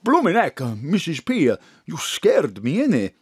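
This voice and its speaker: A man's voice: on the high side, wildly swooping pitch, normal speed, breathy edge, no echo, studio quality, heavily acted. Wildly swooping, clownish man. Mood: smitten. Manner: broken English, frequent tag words. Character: excitable, smitten, clownish Greek man with broken English. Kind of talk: comic audio drama acting.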